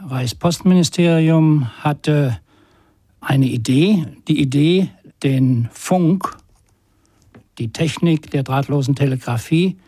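A man, a scholar, explaining hesitantly in an interview with a husky voice, searching for words. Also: fairly high pitch, takes it slowly, dark tone, dry recording, studio quality. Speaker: communication scholar.

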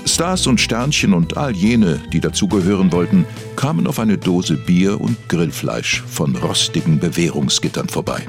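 A man playing a solemn documentary narrator, delivering scripted lines in a weighty, dramatic way with a husky voice. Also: low-pitched, sing-song, moderate pace, few pauses, music in the background, full character voice. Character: male documentary narrator, solemn, mock-investigative.

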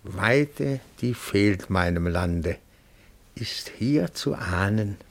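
Slow, gravelly, timid old bookkeeper. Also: male voice, lowish voice, lively intonation, full character voice. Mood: awed, savoring. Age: older. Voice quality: gravelly, husky, often breathy. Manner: deferential, pedantic, slow and careful. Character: timid, meticulous, reverent retired bookkeeper, small elderly man. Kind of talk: German radio play acting.

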